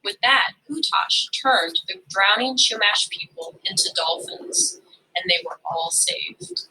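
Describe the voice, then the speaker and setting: A woman reading a children's story aloud as a storyteller, with expressive, dramatic and deliberate phrasing. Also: medium pitch, slight background noise, poor audio.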